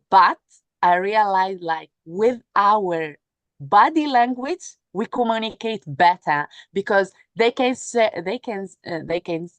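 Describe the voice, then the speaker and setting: A woman whose native language is Arabic, speaking unscripted as a panelist, haltingly searching for words and repeating phrases. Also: medium pitch, no room sound. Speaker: panel speaker, humanitarian sea rescue worker. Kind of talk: unscripted panel talk about work experience.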